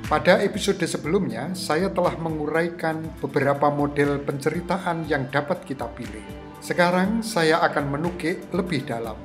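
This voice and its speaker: Androgynous-pitched voice, scripted tutorial narration, didactic, rhetorical questions, prominent guitar backing. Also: moderate pace. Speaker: host of a screenwriting tutorial. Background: guitar music.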